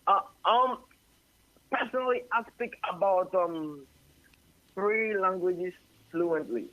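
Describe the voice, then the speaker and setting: Ghanaian man, bright tense tone, dry close sound, rambling guest. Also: high-pitched, slow pace, dry recording, muffled recording. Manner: rambling, tags sentences with "you know". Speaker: singer-songwriter and label head. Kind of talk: radio interview, casual.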